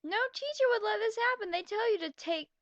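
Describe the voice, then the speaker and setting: A woman's voice: very high voice, lively intonation, a little fast, a bit bright, no room sound. A young woman reading a story aloud with blunt, mocking, exasperated commentary, on a slightly dull home recording. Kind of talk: sarcastic reading with running commentary.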